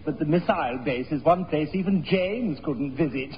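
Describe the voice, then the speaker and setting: A man's voice: a little high, normal speed, lightly acted. A man playing a fussy, polite, hesitant amateur theatre producer in a 1960s radio sitcom. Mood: fussy, apologetic. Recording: muffled old radio recording, echoing hall.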